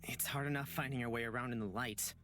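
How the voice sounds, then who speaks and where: A man's voice: mid-range voice, steady tone, fast, bright, forceful tone, soft background music, close to an everyday voice. A man voicing an earnest, timid young man in a visual novel, hesitant and easily flustered into stammering. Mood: thoughtful.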